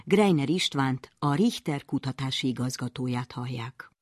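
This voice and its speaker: A woman's voice: rumbling low, animated, darkish tone, slightly rough, no echo, studio quality. A woman presenting a science radio programme, reading scripted narration.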